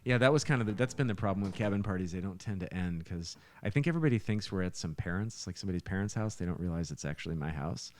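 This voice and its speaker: A man co-hosting a podcast as a musician, telling things casually in a halting, stop-and-start way. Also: deep voice, lively intonation, darkish tone. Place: living room with soundproofing.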